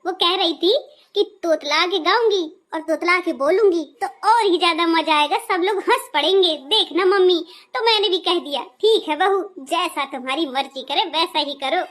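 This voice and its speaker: A woman plays a fussy cartoon mother-in-law with a very high, small voice. She is anxious and defensive, forever smoothing things over in a comic household skit. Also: moderate pace, fairly bright tone. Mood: covering up. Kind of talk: comic family skit acting.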